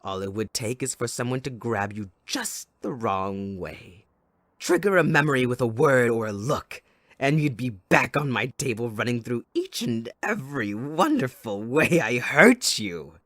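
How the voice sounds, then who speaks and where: A man playing a mocking, possessive, sadistic villain in an ASMR audio roleplay, with a taunting, drawn-out theatrical delivery. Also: medium pitch, very animated, fairly bright tone, no echo, clean studio sound. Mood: cruel, coaxing.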